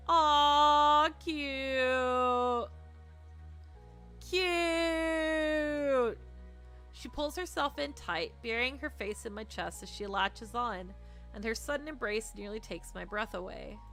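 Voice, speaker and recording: A woman with a slightly high, bright voice reads a visual novel aloud on a stream. She narrates expressively and slips into playful, teasing asides. Soft music plays behind her.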